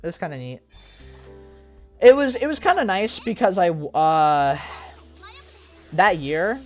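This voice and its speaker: A woman's voice: fairly low pitch, very animated, takes it slowly, darkish tone, soft background music. A young woman chatting casually over gameplay, rambling and self-deprecating, with lots of fillers and restarts. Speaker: gaming streamer.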